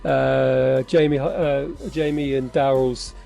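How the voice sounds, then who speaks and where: A man's voice: mid-range voice, little pitch movement, takes it slowly, gravelly, dark tone, soft background music. A man co-hosting a casual podcast chat over a video call, wry and rambling. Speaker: podcast co-host.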